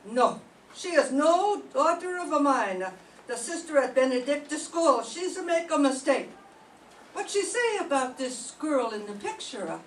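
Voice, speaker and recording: An older woman playing a poor, frightened immigrant mother in a radio drama, pleading in halting broken English. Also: high voice, expressive. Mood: shaken. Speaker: amateur radio drama performer. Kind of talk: live reading of a 1940s radio drama. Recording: echoey room, distant mic, faint noise.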